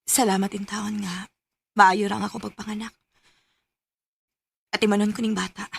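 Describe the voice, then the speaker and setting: A woman's voice: medium pitch, level tone, moderate pace, creaky, husky. A woman acting a hurt, stubborn young unwed mother in a Bisaya radio drama, with emphatic delivery. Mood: subdued, sad.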